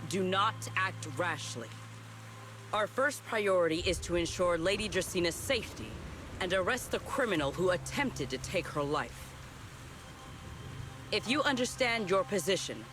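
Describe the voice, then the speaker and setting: A dutiful officer with a high male voice, stiff and stern in a video game style. Music plays clearly behind him. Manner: stiff, formal, authoritative.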